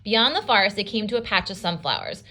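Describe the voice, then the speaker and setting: Bright, very expressive woman teaching read-aloud. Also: medium pitch, quick pace. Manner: expressive, explanatory. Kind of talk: instructional storybook read-aloud.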